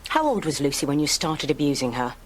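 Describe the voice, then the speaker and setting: An actress playing a blunt, probing police detective who questions a suspect accusingly in an audio drama. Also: female voice, low-pitched, big pitch swings, fast talker.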